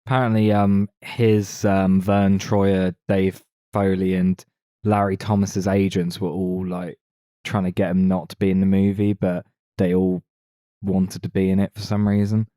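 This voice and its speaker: A man with a deep, mellow voice talks casually as a podcast co-host. The sound is dry and close, with very little room in it. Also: little pitch movement, measured pace, no room sound. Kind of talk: casual film discussion.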